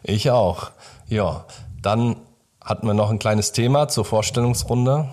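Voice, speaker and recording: A man's voice: fairly low pitch, normal speed, darkish tone. A young man from Germany chatting casually as a podcast co-host, in a colloquial, slangy and enthusiastic way. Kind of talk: casual chat.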